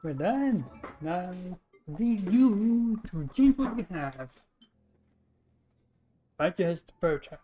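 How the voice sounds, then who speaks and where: A woman's voice: lowish voice, animated, takes it slowly, long pauses, dark tone, faint music behind. A woman chatting casually as she commentates on her own video game livestream. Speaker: game streamer.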